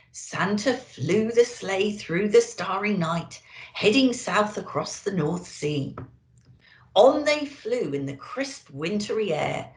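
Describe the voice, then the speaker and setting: A woman reading a children's story expressively, as if talking to young listeners. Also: medium pitch. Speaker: storyteller in character as an elf. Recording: roomy echo.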